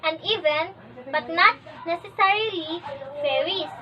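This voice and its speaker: A woman student presenter reads a scripted lesson haltingly, phrase by phrase, on a dull, distant recording. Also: very high voice. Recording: dull lo-fi, off-mic sound.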